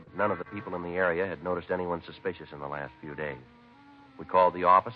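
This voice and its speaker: An actor playing a terse, businesslike police sergeant in a vintage radio crime drama, with clipped, deadpan delivery. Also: male voice, deep voice, natural voice. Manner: clipped, deadpan, matter-of-fact. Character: terse, businesslike male police sergeant narrating his case. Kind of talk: 1950s radio crime drama acting and narration. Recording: muffled vintage radio recording with music.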